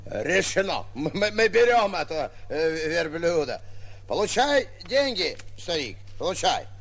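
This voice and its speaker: An actor playing a boastful, naively awestruck provincial lion hunter in a 1940s radio play, theatrical and flustered. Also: male voice, a little high, big pitch swings, unhurried, over music. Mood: excited. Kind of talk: 1940s stage-style radio acting. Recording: muffled vintage 1940s radio recording.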